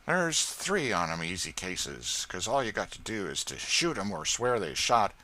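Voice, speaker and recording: A man reading an audiobook and voicing a sly, nervous lawyer who talks in a smooth, conciliatory way. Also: on the low side, very animated, normal speed, bright, forceful tone. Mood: wheedling. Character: sly, wheedling lawyer and slave catcher, nervous. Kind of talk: audiobook character voice.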